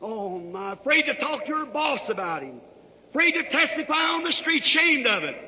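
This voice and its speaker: High, piercing male revival preacher in echoing hall. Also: sing-song, moderate pace. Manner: dramatic, declamatory delivery with emphatic shouts. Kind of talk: fervent revival sermon, storytelling. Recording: reverberant hall recording.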